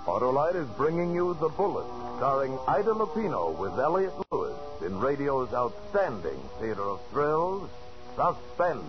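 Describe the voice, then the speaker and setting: Male announcer, emphatic forties radio drama introduction, animated delivery, dull vintage sound. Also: medium pitch, moderate pace. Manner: theatrical, emphatic. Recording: dull old radio broadcast, music behind.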